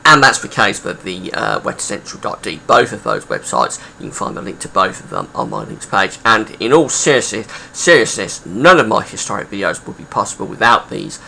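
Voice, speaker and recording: A man with a croaky, gravelly voice, hosting a casual weather history video, chatty and full of pauses and fillers. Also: on the low side, very animated, sharp tone, slight background noise, poor audio. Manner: chatty, rambling with many uh fillers.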